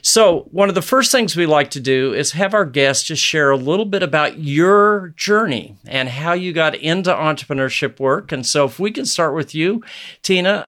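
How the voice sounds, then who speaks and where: A man's voice: fairly high pitch, fairly bright tone. A man hosting a podcast, reading a scripted introduction and then chatting with his guests in an upbeat, welcoming way. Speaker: podcast host.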